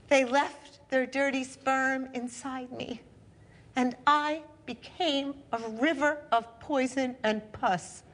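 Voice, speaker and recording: A woman voicing a grieving village woman, slow. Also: high-pitched, a bit bright, pitched above the performer's own voice, full character voice. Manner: slow, halting, incantatory lines. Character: grieving young village woman, war survivor. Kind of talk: stage monologue.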